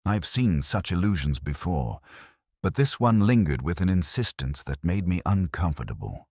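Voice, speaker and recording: A man playing a brooding, observant police detective, narrating his case in a measured, solemn, literary manner for a mystery drama. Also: deep voice, big pitch swings, darkish tone, no room sound, clean studio sound, heavily acted. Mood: brooding, watchful. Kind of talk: scripted first-person mystery narration.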